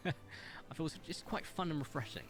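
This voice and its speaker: A man streaming a game, chatting casually in a calm, smooth voice as he thinks aloud and rambles with fillers. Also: fairly high pitch, moderate pace, soft background music. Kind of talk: casual gaming livestream chat.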